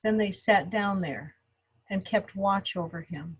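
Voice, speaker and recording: A woman's voice: mid-range voice, measured pace, slightly rough, dull audio. A woman pastor reading scripture aloud in a dramatic group reading over a video call. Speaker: Lutheran pastor.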